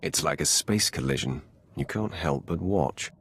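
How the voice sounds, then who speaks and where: Deep, raspy, sardonic dark lord. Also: male voice, animated, no echo, heavily acted. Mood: amused, imperious. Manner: wry, imperious, measured authority. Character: commanding, sardonic male dark lord, a superior. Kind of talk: video game character acting.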